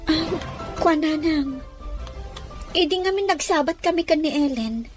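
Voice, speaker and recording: A very high-pitched young daughter in love, acted by a woman. She speaks as a devoted, searching character in a family audio drama. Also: animated, a little slow, faint music behind. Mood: worried, questioning. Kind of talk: Ilocano audio drama dialogue.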